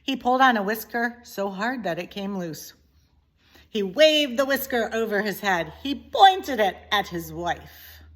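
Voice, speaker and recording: Female storybook narrator, unhurried and expressive, leaning on key words, middle pitch. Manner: deliberate, dramatic emphasis. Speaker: story reader, teacher.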